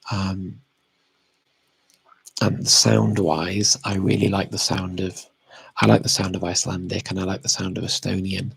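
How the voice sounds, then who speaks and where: A man's voice: low voice, little pitch movement, measured pace, creaky, pauses often, slightly rough, rough recording. A man chatting casually and unscripted as he answers audience questions as a language expert, often hesitating and correcting himself as he thinks aloud.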